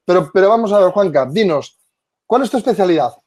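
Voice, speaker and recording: A man's voice: high voice, expressive, fast talker, a little mellow. A man in his thirties hosting a webinar interview over a video call, asking questions in a friendly conversational way. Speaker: webinar host. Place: indoor office.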